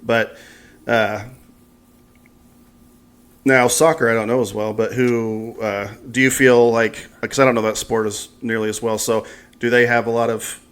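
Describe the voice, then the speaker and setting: A man's voice: mid-range voice, flat delivery, measured pace, pauses often, a bit bright, clean tone. An American man hosting a podcast, talking in a casual, conversational interview style. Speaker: podcast host.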